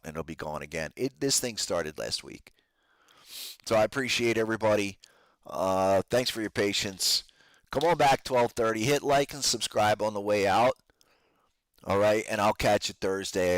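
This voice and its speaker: A man with a low voice that is wearing out from talking, recorded close and dry. He hosts a trading podcast, giving casual, slangy commentary on charts to his listeners. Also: dry recording. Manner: informal trader slang, addresses listeners as guys. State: losing his voice, strained from talking.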